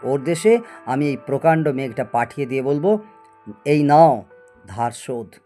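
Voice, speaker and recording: A man's voice: mid-range voice, a little slow, warm tone, faint music behind, lightly acted. A man acting a proud, obsessive middle-aged scientist in a Bengali audio story, theatrical and prone to stammer when agitated. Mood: boastful, assured. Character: proud obsessive male scientist, boastful and stubborn.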